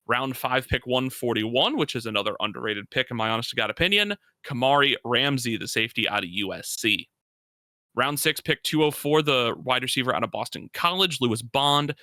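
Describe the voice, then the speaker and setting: A man's voice: mid-range voice, normal speed, sharp tone, dry recording. A man co-hosting a casual sports podcast, giving emphatic, opinionated analysis in slangy everyday language. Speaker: podcast co-host.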